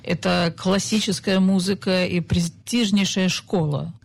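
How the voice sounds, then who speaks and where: A male interviewer with a high voice puts careful questions to his guest. He pauses and rephrases to find the right words. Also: steady tone, vocal fry.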